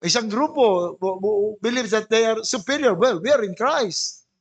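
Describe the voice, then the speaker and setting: A man with a Filipino accent preaching a sermon as a pastor, in deliberate, emphatic phrases with rhetorical questions. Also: high-pitched, animated. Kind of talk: sermon mixing English and Tagalog.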